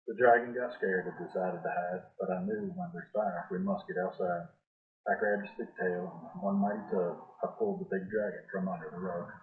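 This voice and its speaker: A man reading a rhyming children's picture book aloud in a measured, sing-song storytelling style. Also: lowish voice, very animated, gravelly. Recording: roomy echo, thin phone-like sound.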